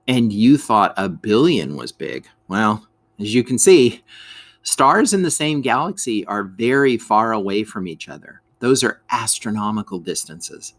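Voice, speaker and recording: A man reading a scripted educational lesson aloud for children, as a teacher, in an expressive way that speaks straight to the listeners. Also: medium pitch. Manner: expressive, addresses listeners with questions.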